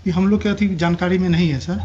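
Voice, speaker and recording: Deferential male police officer, fairly high voice. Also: fairly even intonation, fast talker. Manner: deferential, defensive, often saying sir. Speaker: police officer answering to a court. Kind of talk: courtroom testimony. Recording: dull court audio with faint noise.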